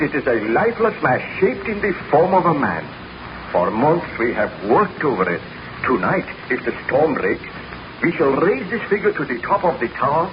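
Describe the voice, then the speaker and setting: Haunted, driven baron, raised voice pitch, grand theatrical delivery, muffled vintage radio drama sound. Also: male voice. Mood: tense excitement. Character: obsessed, exhausted baron scientist recounting his dark story. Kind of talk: 1930s radio drama acting. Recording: noisy, muffled 1930s radio broadcast.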